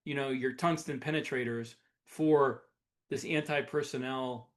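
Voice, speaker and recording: A man's voice: mid-range voice, fairly even intonation, unhurried. A man co-hosting a podcast in casual chat, explaining things informally and often correcting himself as he goes. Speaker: podcast co-host.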